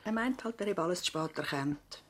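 A doctor's long-serving assistant and housekeeper in a radio play. Her voice is slightly low, the talk polite and plain, with a Swiss German accent. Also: expressive, brisk, a bit bright, a little hiss or hum. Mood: conversational. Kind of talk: radio drama acting, conversational.

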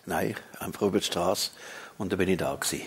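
Gravelly low-voiced Swiss German man. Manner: plain, matter-of-fact, a bit halting. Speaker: circus director. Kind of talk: live talk-show guest. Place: theatre stage before an audience.

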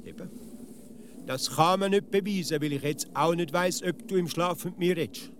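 A man's voice: high-pitched, moderate pace, a little hiss or hum, close to an everyday voice. A man with a Swiss German accent playing a grumpy, nitpicking, huffy village philosopher in a comic radio play. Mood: pedantic, challenging. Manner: pedantic, insistent questioning.

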